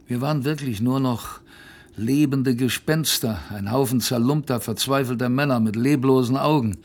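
Slow-paced gravelly survivor narrating. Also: male voice, mid-range voice, darkish tone. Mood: rueful. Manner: vivid, conversational, dramatic pauses. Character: earnest former expedition member recounting his survival story. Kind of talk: first-person radio play narration.

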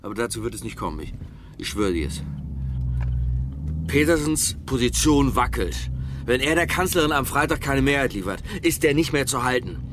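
Actor as drunken, pompous politician, swooping intonation. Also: male voice, mid-range voice, expressive, normal speed, lightly acted. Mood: insistent. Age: middle-aged. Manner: rambling, stammering, pompous. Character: drunken, self-righteous male politician, ranting and volatile. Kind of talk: naturalistic German radio crime drama acting. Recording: noisy car interior ambience.

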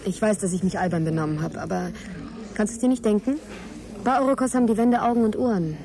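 An actress playing a guarded, sceptical middle-aged scientist in a naturalistic radio drama, direct and questioning in conversation. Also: female voice, mid-range voice, fast talker, lightly acted. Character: guarded divorced female scientist, sceptical, frank, wary. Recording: crowd chatter behind, café scene. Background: café crowd chatter.

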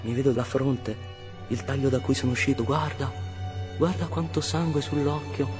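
A man's voice: medium pitch, moderate pace, close to an everyday voice. A male actor plays a man reliving his childhood in a radio monologue. He tells it in short, repeated, vivid fragments, as if it were happening now. Faint music runs underneath. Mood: tense, vivid. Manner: fragmented, repetitive, vivid present-tense storytelling. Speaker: actor and narrator. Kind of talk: dramatic first-person radio monologue.

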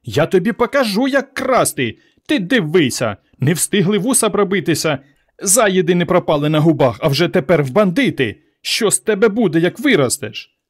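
A man's voice: on the high side, big pitch swings, brisk, hardly any pauses, pitched above the performer's own voice. A man voicing an angry village mother in a story, scolding and wailing with indignation. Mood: furious scolding.

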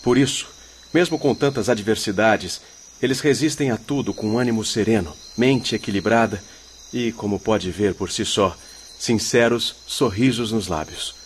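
An actor playing a kindly, wise expedition leader in a radio drama, speaking in a formal, instructive way. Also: male voice, fairly low pitch, moderate pace, natural voice. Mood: earnest, explaining. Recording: faint noise with night crickets.

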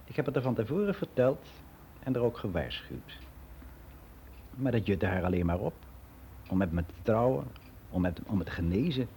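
Older man giving frank, matter-of-fact radio play monologue; soft dark tone, slightly noisy recording. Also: on the low side, very animated, normal speed, pauses often. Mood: matter-of-fact, reminiscing. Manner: matter-of-fact, rambling, candid.

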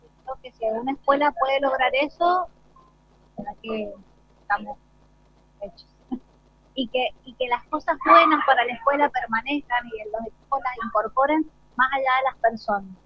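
An Argentine woman with a somewhat high voice answers interview questions as a school director. She explains things earnestly and spontaneously. The live radio recording is slightly dull and lo-fi. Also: normal speed.

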